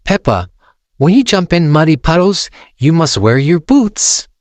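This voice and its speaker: A man voicing a wise, calm mother pig in a storybook, speaking in a gently instructive way. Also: a little high, unhurried, no echo, pitched above the performer's own voice.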